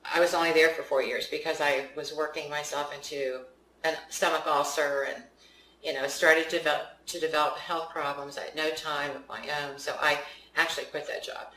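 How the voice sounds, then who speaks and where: A woman's voice: low-pitched, fairly even intonation, fairly quick, pushed and bright, slight background noise. Older woman, chatty storyteller. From West Virginia. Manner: chatty storyteller, laughs often. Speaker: author, interview guest. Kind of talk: casual interview conversation. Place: studio. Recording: roomy studio sound, mic at a distance.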